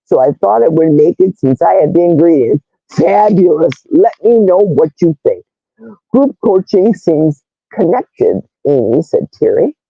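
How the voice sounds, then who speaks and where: A woman with a loud, raspy, brash voice hosting a casual livestream, reading chat comments aloud and greeting viewers. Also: fairly low pitch, no echo, highs cut off. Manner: animated, reads comments aloud, squeals greetings. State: hoarse, vocal fry.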